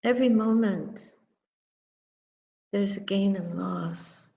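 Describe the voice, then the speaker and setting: A woman, a Buddhist meditation teacher, giving a guided reflection in a contemplative, measured manner, in short phrases with long pauses. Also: medium pitch, creaky, dark tone. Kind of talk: guided meditation and dharma talk. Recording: muffled recording with long reverberant echo.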